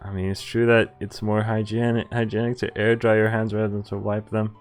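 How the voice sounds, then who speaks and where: A man's voice: lowish voice, level tone, fairly quick, clean tone. A man streaming a visual novel, reading its dialogue aloud and reacting casually in a jokey way with some swearing. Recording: music under the voice. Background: game music.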